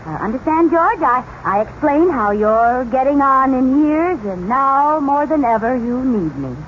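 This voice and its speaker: An actress playing a flustered, good-natured housewife in 1940s radio comedy, with theatrical, exclamatory delivery. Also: female voice, high-pitched, animated, measured pace. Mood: coaxing. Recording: muffled, noisy old radio recording.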